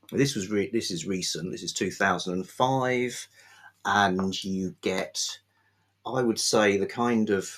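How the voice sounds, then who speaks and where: A man hosting an informal book review, talking off the cuff in a halting, thoughtful, enthusiastic way. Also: on the low side, breathy edge. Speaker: book reviewer, video host. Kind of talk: unscripted book talk to camera.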